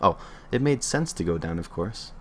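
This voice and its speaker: A man's voice: low voice, animated, moderate pace, darkish tone, slight background noise. A man reading a creepy internet story aloud on a livestream in an offhand way, stumbling and correcting himself as he goes.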